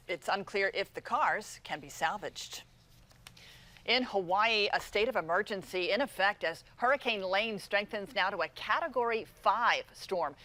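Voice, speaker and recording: A woman's voice: medium pitch, bright, forceful tone. An American woman reading scripted news as a morning television anchor.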